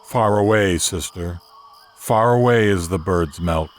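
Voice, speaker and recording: The Sun, grave all-knowing brother in a storybook cartoon, male actor. Very low register, deliberate, foreboding delivery. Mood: solemn warning. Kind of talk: children's storybook cartoon voicing.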